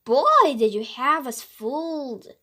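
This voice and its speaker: Woman playing young boy, storybook detective, high voice, eager, curious, earnest, emphatic. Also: very animated, slow, a bit bright, heavily acted. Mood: impressed.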